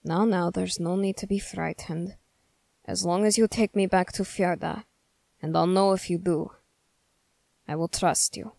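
A woman acting a low, slow, stern young soldier. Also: steady tone, vocal fry, a little mellow, no room sound. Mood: gently stern. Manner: measured, halting, formal phrasing. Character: stern, wary young male soldier from a snowy northern land. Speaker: roleplay voice performer. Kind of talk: ASMR character roleplay addressed to the listener.

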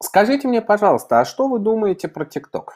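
Middle-aged man, lively pitch, interviewer. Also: fast talker. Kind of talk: interview questions. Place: indoors, video call.

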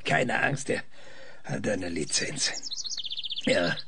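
A man's voice: lowish voice, expressive, slow pace, gravelly, background noise, heavily acted. An actor playing a stubborn, weary male scientist in an audio drama, hesitant, thoughtful and dryly ironic. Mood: reflective. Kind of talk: German audio drama acting.

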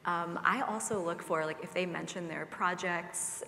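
Gravelly-voiced woman on a panel. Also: lowish voice, normal speed, pushed and bright, a little hiss or hum. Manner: casual, chatty, speaks in restarts. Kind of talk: live panel discussion. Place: large conference hall stage. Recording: roomy hall with long reverb.

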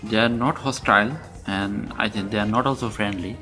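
Flat-voiced man from Bangladesh. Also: fairly low pitch, brisk, music in the background. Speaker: international student. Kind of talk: casual interview answers. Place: university lobby indoors.

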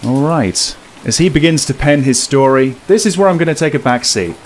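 A man's voice: mid-range voice, animated, brisk, slight background noise. A man in his thirties giving casual gameplay commentary to his viewers, close on a desk microphone. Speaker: host of a gaming channel. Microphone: desk microphone close up, headset worn. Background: game music.

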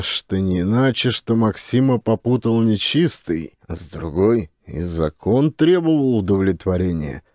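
A man's voice: on the low side, warm tone, no echo, clean studio sound. A man narrating a humorous literary story as a scripted audiobook reading.

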